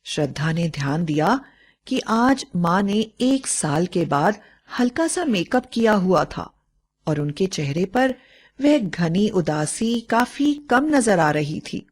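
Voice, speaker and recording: A woman reading a scripted bedtime moral story in a measured, expressive storytelling way. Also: medium pitch, husky.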